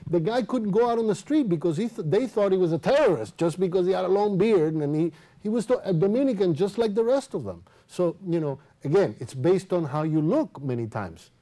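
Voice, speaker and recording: A man's voice: high voice, warm tone. A man leading a student discussion in a conversational interview style, prompting and rephrasing with questions. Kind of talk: moderated group discussion, conversational.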